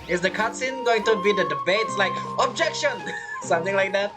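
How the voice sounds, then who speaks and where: A woman's voice: medium pitch, animated, normal speed, loud music behind the voice. A woman giving casual, joking commentary as she plays through a video game story. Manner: playful, joking. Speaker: gamer reacting to a story quest.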